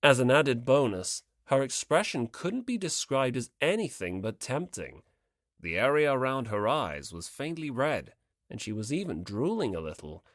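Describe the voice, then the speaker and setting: An audiobook narrator reading fiction from a script in an expressive storytelling style. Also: medium pitch, moderate pace, no echo, clean studio sound.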